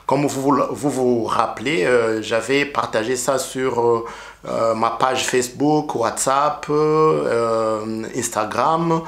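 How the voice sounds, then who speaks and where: A middle-aged Rwandan preacher speaks slowly and earnestly, his pitch sitting at an ordinary middle level for a man. He pauses often and restarts his sentences, and his Kinyarwanda accent colors every word. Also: fairly bright tone. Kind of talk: sermon and testimony with prayer.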